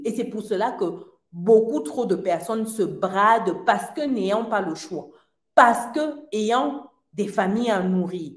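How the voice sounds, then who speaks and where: A woman giving an earnest personal testimony in casual talk, often laughing lightly between phrases and filling pauses. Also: medium pitch. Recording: roomy echo.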